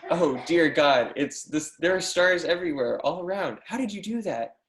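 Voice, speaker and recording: A man's voice: on the high side, lively intonation, moderate pace, fairly bright tone, slight background noise. Man acting a young mathematician student, eager, pleading, wide-eyed, insistent, stage drama reading, roomy echo. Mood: awed. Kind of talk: readers theatre stage reading. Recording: roomy, echoing sound.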